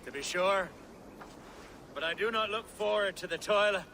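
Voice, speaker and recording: A man's voice: high voice, moderate pace, bright, forceful tone, slight background noise. An actor playing a nervous, chatty, rambling Anglo-Saxon nobleman in a video game cutscene. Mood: weary. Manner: rambling, fussy, flustered, effusive.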